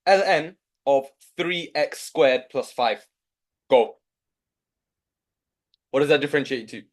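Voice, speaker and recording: A man's voice: mid-range voice, takes it slowly. Yorkshire guy tutoring maths online live, young, keeps cheering students on, regular mid voice. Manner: encouraging, coaxing students.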